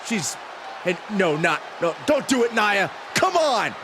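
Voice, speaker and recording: A man voicing an excitable, outraged wrestling commentator in a video game. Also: high voice, unhurried. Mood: outraged, alarmed. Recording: noisy arena crowd behind.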